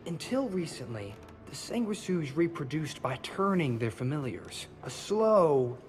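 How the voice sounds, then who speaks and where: A lofty scholar of monsters in a video game, with a fairly high voice and a wordy, theatrical, self-important delivery. Music is prominent in the background. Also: male voice, sing-song, unhurried. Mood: lecturing. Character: pompous, erudite male monster-hunting scholar.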